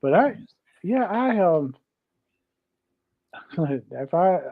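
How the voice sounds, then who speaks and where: A man's voice: sits high, big pitch swings, drawn-out words, dry recording. A middle-aged or older man with a Southern US accent, chatting casually as co-host of a livestream in a folksy way, repeating himself and greeting viewers. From Georgia, United States. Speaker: live show co-host. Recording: dull, dry close audio.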